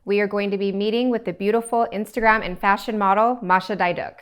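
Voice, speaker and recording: A woman's voice: mid-range voice, fairly even intonation, fast talker, smooth. A young woman hosts a casual interview podcast. She talks informally and bubbly, asking questions like a friend in an easy chat. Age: young adult.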